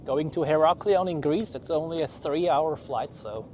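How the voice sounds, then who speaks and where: A man with a Swiss accent talks in a relaxed, thinking-aloud way while spotting planes. His voice sits a little high. Clear aircraft and traffic noise from outdoors runs behind him. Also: normal speed. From Switzerland. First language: Swiss German. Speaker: plane-spotting livestream host. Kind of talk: live spotting commentary. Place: outdoors beside an airport runway. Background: aircraft and vehicle noise.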